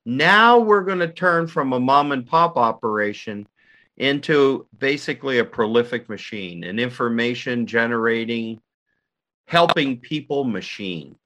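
An older man from the United States, a guest recalling his story in conversation, talking in energetic bursts with frequent restarts. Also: mid-range voice, measured pace. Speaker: guest, co-founder of an institute. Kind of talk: conversational recollection.

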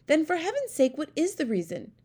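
A woman's voice: high voice, wildly swooping pitch, fairly quick, dark tone, pitched above the performer's own voice. A woman voicing a regal, commanding queen in a storybook, exclamatory and aristocratic in her phrasing. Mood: sharp, concerned. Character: well-known queen, regal, commanding, easily horrified older woman. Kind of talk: storybook character voice.

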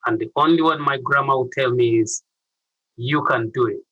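Middle-aged ugandan guy telling his life story, bright forceful voice, dry close sound. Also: mid-range voice, moderate pace, no echo, lo-fi sound. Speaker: interview guest, youth mentor. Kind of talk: personal storytelling in an interview.

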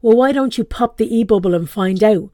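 A woman voicing a smug, excitable young schoolboy in a story she reads aloud. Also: mid-range voice, brisk, no echo, clean studio sound, lightly acted. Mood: teasing. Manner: squealing, gleeful.

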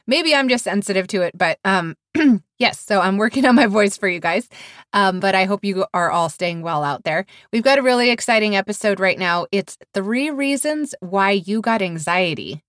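A woman's voice: medium pitch, animated, normal speed, fairly bright tone, no echo, studio quality. A woman co-hosting a podcast in casual chat, a little nasal from a cold, chatty and giggly. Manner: chatty, giggly, self-deprecating. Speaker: podcast co-host.

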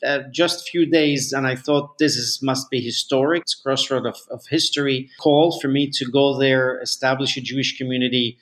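A man from Lebanon in his fifties speaks as a rabbi in an interview. His voice sits at an ordinary middle pitch. He talks in a measured, halting way, often restarting his phrases. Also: fairly even intonation, a bit bright. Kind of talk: interview answers.

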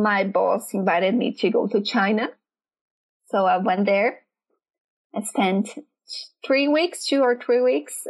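A Brazilian woman speaking English as a second language, answering interview questions in a relaxed chat and often pausing to search for words. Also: mid-range voice, low-quality recording. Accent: Brazilian Portuguese. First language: Portuguese.

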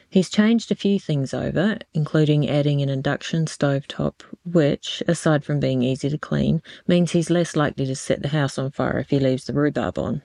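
An Australian woman narrates an audiobook with plenty of vocal fry. She tells it like a wry yarn, scripted but dry in humour. The recording is close and dry, with no room sound. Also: low voice, warm tone, no echo. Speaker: audiobook chapter reader.

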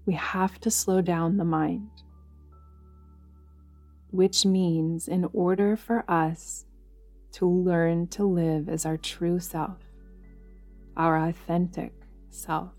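A woman guides a meditation in a fairly low voice, speaking very slowly and gently. She leaves long, soothing pauses between her instructions. Music plays behind her. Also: steady tone. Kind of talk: guided yoga nidra meditation. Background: background music.

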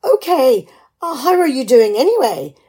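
A woman playing a nagging, exasperated, sharp-tongued middle-aged wife in a radio play. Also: high voice, big pitch swings, moderate pace, slightly rough, full character voice. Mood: guarded. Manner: exasperated, sarcastic scolding.

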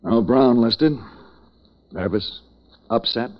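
An actor playing a grudge-bearing homicide detective in a 1940s radio drama, dry and taunting. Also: male voice, mid-range voice, slow pace, lightly acted. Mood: sarcastic, needling. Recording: muffled 1940s radio recording.

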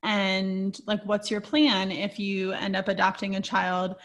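A woman's voice: medium pitch, little pitch movement, a little slow, a bit bright. A woman hosting an interview, responding thoughtfully with fillers. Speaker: host. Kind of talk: conversational interview.

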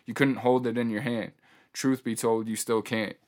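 A man's voice: mid-range voice, level tone, moderate pace. A man hosting a podcast solo, speaking casually in a laid-back way with hip-hop slang and sometimes reading passages out. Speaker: podcast host.

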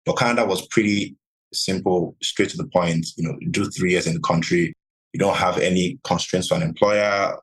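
A deep-voiced man from Nigeria speaks as a podcast guest, answering steadily and calmly. His tone is low and slightly husky, and he leans on "you know" often as he explains. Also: poor audio. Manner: measured, many "you know" fillers. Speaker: podcast guest, real estate investor. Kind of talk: conversational interview answers.

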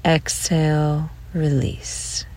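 A woman leading a guided relaxation meditation in a gentle, soothing way, with phrase ends trailing off into breath. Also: sits low, little pitch movement, very slow.

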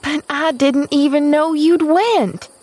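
A woman's voice: high-pitched, steady tone, takes it slowly, a little mellow, voice pushed up. A woman voicing a fretful, loyal young serving maid in an audiobook, with exclamatory, ungrammatical rustic speech. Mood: scolding relief. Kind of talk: audiobook character voice.

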